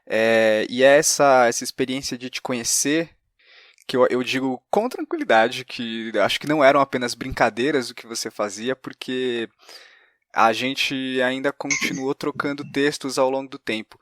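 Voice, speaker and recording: A man's voice: medium pitch, normal speed, fairly bright tone, no echo. A man with a Brazilian accent hosting a conversational interview, hesitantly searching for his words. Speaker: podcast host.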